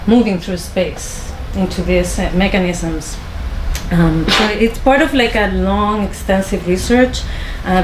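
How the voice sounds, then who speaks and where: A woman from Chile with a Spanish accent, introducing her films to an audience in a small venue, with many pauses and restarts. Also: medium pitch, roomy sound, background noise. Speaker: filmmaker introducing her screening. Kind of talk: informal talk to an audience.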